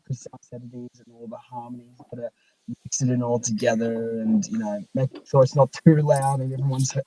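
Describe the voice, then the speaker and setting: A young man hosting a casual live stream chat in a halting, hesitant way. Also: medium pitch, dark tone, no room sound, low-quality recording. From Australia. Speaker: live stream host and fan-dub project leader.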